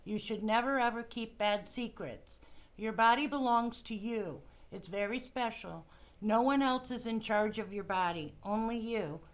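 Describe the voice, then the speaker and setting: A woman presenter giving scripted advice to children in a measured, reassuring manner. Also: a little high.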